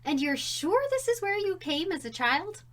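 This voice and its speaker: A woman's voice: very high voice, big pitch swings, normal speed. A woman playing a teasing, playfully sardonic wife and mother in an audio drama comedy. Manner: wry, theatrical, teasing.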